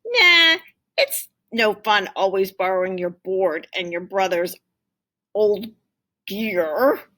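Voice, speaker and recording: A woman's voice: medium pitch, sing-song, slow pace, pushed and bright, exaggerated voice. A woman reading the part of a wistful, eager young boy in a storybook, plain-spoken and childlike. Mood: resigned.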